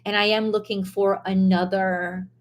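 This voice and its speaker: Hoarse woman venting on a live stream. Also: mid-range voice, little pitch movement, unhurried. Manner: frustrated, blunt, swears, loses her train of thought. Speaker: tarot reader hosting a live stream.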